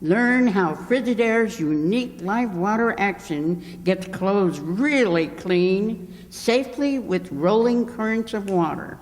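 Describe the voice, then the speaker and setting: Man as slow, emphatic salesman in a hall. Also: sits high, animated. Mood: enthusiastic pitching. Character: enthusiastic, wordy appliance salesman Mister Niles. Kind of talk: old-time radio comedy acting, pitching like an ad. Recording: echoey hall, long reverb.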